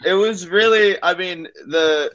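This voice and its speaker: A man telling a story as a guest comedian on a casual podcast, halting and repeating himself as he acts out exchanges. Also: sits high, fairly bright tone, lo-fi sound, a little hiss or hum.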